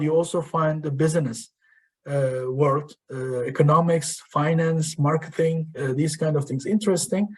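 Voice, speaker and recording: Guy giving a halting talk on a video call, lots of uhs, kinda high for a man. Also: darkish tone. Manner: hesitant, many fillers. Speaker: programme director. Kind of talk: presentation to prospective students.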